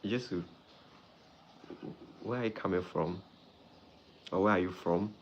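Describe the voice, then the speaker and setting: A man from Ghana, hosting a live stream as a doctor and warmly greeting viewers by name. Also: low-pitched, measured pace, slight background noise. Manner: friendly, greets viewers by name, repeats phrases.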